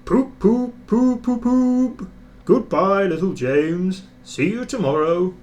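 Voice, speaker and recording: A man's voice: high-pitched, slow, a little mellow, voice pushed up. A man voicing a proud, boastful big steam engine in a children's storybook, pompous and condescending. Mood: triumphant.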